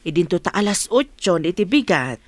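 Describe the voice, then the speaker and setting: A woman's voice: lowish voice, big pitch swings, normal speed. A woman acting a concerned, nudging middle-aged mother in an Ilocano radio drama, with an Ilocano Filipino accent. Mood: concerned, coaxing.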